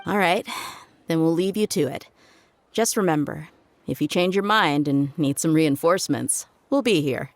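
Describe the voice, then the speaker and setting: A woman's voice: low voice, normal speed. An actress playing a confident, teasing desert mercenary woman in a video game, casual and wry. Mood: easygoing. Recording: game music behind the voice.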